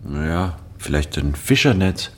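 A male actor playing a reflective, wry anthropologist who narrates a German radio drama in a measured, precise way. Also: sits low, big pitch swings, slight background noise. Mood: unsure, curious.

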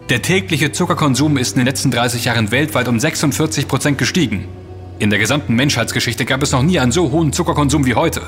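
Actor as an intense investigator in a reverberant hall. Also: male voice, medium pitch, a bit bright, natural voice. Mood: grave. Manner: emphatic, dramatic, accusing. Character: intense, self-assured male investigator exposing a conspiracy. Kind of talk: German audio drama acting. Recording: long reverb with music underneath.

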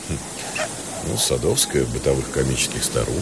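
An actor playing a cultured, exasperated university professor in a radio play, lecturing in an articulate, indignant way. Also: male voice, a bass voice, big pitch swings, moderate pace, noisy background. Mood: reserved. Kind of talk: Russian radio play acting.

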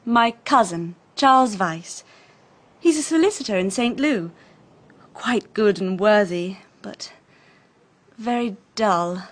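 A woman's voice: mid-range voice, expressive, slow pace, long pauses. A woman acting in a radio drama as a breezy, flippant young society lady with an upper-class English accent, airy and drawling. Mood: dismissive, gossipy. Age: young adult.

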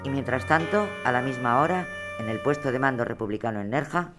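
A man giving scripted narration of times and places in a radio drama, measured and announcing. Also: mid-range voice, expressive, over music.

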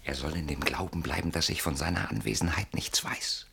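An actor playing a frightened, suspicious man in a radio play, speaking in a hushed, agitated and insistent way. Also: rumbling low, brisk, gravelly, slight background noise, exaggerated voice. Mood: tense, secretive. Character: frightened, suspicious man hiding from a stalker at night. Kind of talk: German radio play acting.

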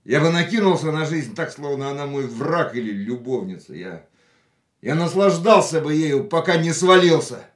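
A man's voice: lively intonation, normal speed, natural voice. An actor in a radio drama playing a philosophical, risk-loving man with dramatic, declamatory phrasing. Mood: intense, reflective. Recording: echoey radio studio, faint rumbling noise.

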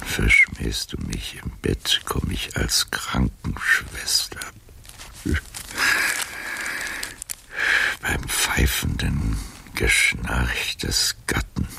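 Very deep, gravelly old man. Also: sharp tone, slight background noise, heavily acted. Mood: sardonic, muttering. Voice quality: very deep, gravelly, breathy half-whisper. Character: aged Casanova, old man reading through old love letters. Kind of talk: German radio drama acting.

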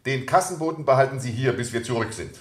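A man's voice: medium pitch, fast, lightly acted. A man acting as a brusque, commanding police prefect in a German radio play, questioning with dry irony. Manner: commanding, probing, dryly ironic. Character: brusque, sardonic male police prefect leading an interrogation.

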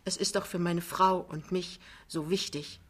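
A woman's voice: lowish voice, steady tone, measured pace. Anxious young man read by a woman: hesitant, earnest, subdued job-seeker in a literary audiobook. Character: timid, anxious young husband seeking a job. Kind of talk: dramatized literary reading.

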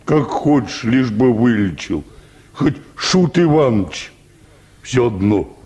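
An actor playing a stubborn, cheeky peasant choir bass in a Russian radio play, rustic, unhurried and mocking. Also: male voice, animated, darkish tone.

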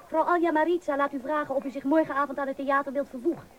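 An actress playing a brisk, formal female messenger in a Dutch radio play. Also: high voice, steady tone, natural voice. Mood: formal, businesslike.

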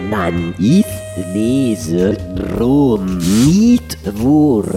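An uncanny little dwarf out of a dream in a radio play. The voice is gravelly and crawls along very slowly, swinging in pitch. It talks in cryptic, halting repetitions over music. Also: male voice, sing-song, full character voice. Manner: slow, halting, repetitive questioning. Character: strange dwarf creature haunting a dream, cryptic, insistent. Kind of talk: radio drama acting.

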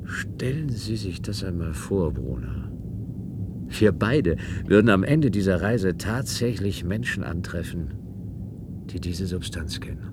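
An expressive pilot with a very deep voice that swings widely, in science-fiction radio drama style. Loud noise sits behind the voice. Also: male voice, a little slow. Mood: musing, uneasy. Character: male pilot travelling in a science-fiction story. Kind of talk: German radio play acting.

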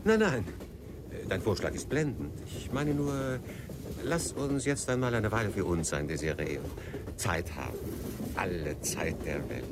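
Clear background noise surrounds a courtly, middle-aged husband in a radio play. His voice sits fairly low and moves through very varied intonation. He chooses formal words, hesitates, and has an evasive manner. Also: lively intonation, unhurried. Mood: polite, uneasy. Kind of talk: radio drama dialogue and confessional narration.

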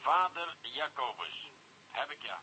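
An actor playing a teasing Dutch police commissioner, heard over a telephone in a radio drama. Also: male voice, mid-range voice, big pitch swings, a little slow, pushed and bright, full character voice. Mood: dry, teasing. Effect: distant telephone voice. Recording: noisy, faint telephone line.

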